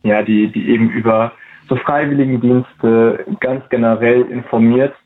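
A young man in his twenties answers interview questions in a relaxed but halting way, pausing often and restarting his sentences. His voice sits at an average pitch. It comes through a narrow, phone-like line that sounds tinny. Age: 20s. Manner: hesitant, many fillers and restarts. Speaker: interview guest, volunteer at a museum.

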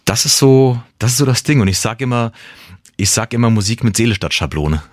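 A man's voice: mid-range voice, lively intonation, a little fast, no room sound, clean studio sound. A man, a singer-songwriter and native German speaker, talking about his music in a casual, reflective way, with many restarts and the odd laugh. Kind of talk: casual interview talk.

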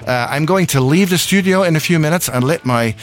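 A man's voice: fairly high pitch, brisk, soft background music. Rambling male radio host. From Scotland. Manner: rambling, chuckling, self-correcting. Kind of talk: casual live radio chat. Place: hot radio studio.

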